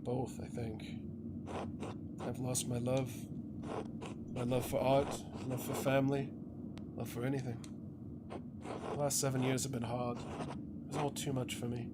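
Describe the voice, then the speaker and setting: A man's voice: mid-range voice, flat delivery, measured pace, long pauses, heavy background noise, lightly acted. A man voicing a weary, troubled master artist in a story game, with an earnest, grave delivery. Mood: despairing.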